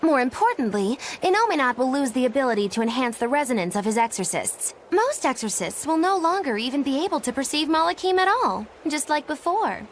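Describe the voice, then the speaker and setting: Smug, high, swooping young witch. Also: big pitch swings, fairly quick, hardly any pauses, slight background noise. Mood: knowing. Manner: theatrical, knowing. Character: smug, theatrical young witch, boastful and mischievous. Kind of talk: animated video game voice acting.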